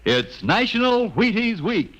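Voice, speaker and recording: A man working as a radio announcer, delivering a polished, emphatic old-time sponsor pitch in a studio. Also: sing-song, a little slow, fairly bright tone, dry recording. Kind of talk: 1940s-50s radio advertising announcing. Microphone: studio microphone. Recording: dry, dull vintage radio recording.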